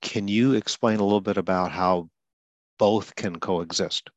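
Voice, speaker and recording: A low-pitched older man talks in a slow, deliberate, explaining way and stumbles lightly on a word now and then. The sound is dry and close, with no room echo. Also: steady tone, dull audio. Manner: explains slowly and deliberately, stutters lightly himself. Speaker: speech coach interviewing a client. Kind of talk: calm conversational interview.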